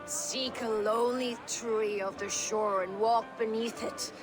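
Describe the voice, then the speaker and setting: Female actor as mystical giantess reveler, game cutscene, portentous, very slow, highish, clear music behind. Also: fairly even intonation, natural voice. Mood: mysterious, toasting. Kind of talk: video game cutscene dialogue.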